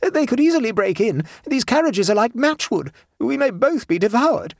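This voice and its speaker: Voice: mid-range voice, sing-song, fairly quick, breathy edge, no echo, studio quality, pitched above the performer's own voice. A narrator voicing an anxious, dramatic male train traveller in a storybook, urgent and tremulous. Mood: fearful.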